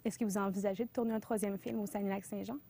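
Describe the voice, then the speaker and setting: A woman's voice: mid-range voice, steady tone, rapid-fire, creaky, dark tone, slight background noise. A woman interviewer putting polite questions to a guest.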